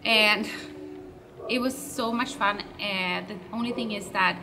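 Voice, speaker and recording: A chatty woman, answering fan questions in a loose, rambling vlog. She stops to correct her own words as she talks. Her voice sounds set back from the mic, with a clear layer of background noise. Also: mid-range voice, takes it slowly, distant mic. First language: Swedish. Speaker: web show host.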